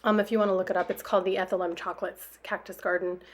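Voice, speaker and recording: A young woman with a hoarse voice, chatting casually over her own planner video, rambling with lots of fillers and small laughs. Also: lowish voice, little pitch movement, rapid-fire. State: extra hoarse after a workout. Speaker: planner vlogger.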